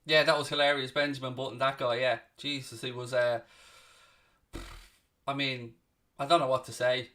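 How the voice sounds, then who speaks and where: A young Irishman with a Dublin accent and a bright, forward voice hosts a live football watchalong. He talks casually and bluntly, breaking off to call the action and answer viewers, swearing now and then. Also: medium pitch, level tone, normal speed, pauses often. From Ireland. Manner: chatty, blunt, answers viewer questions between play-by-play calls, occasional swearing. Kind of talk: casual live match commentary and chat with viewers.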